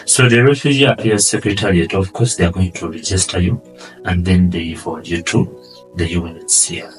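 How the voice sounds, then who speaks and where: A man with a Ugandan accent, answering interview questions earnestly and often trailing off quietly. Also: low-pitched, far from the mic, poor audio. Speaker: guest, asylum seeker.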